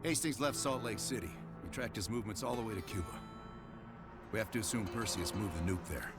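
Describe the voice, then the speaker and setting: A man's voice: lowish voice, brisk, natural voice. An actor playing a commanding, cool and menacing male covert team leader in a game cutscene, terse and authoritative. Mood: cool, controlled. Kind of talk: video game cutscene acting. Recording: loud cinematic music behind.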